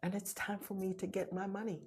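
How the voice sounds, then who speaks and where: A woman's voice: mid-range voice, little pitch movement, fairly quick, a little mellow, husky. A middle-aged woman with a Jamaican accent hosting a motivational live talk, urgent and repetitive, dropping to whispers for emphasis.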